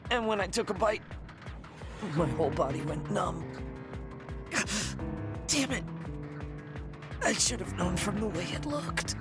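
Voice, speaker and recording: Sour, proud young soldier, expressive. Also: male voice, sits high, slow, pauses often, heavily acted. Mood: weakened, groaning. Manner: curt, defiant. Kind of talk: video game voice acting. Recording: music behind the voice.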